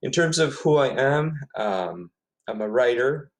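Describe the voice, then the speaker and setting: A man's voice: mid-range voice, a little slow. A man from Ecuador whose first language is Spanish, speaking as an author guest in a casual interview, thoughtful and often repeating himself as he finds his words.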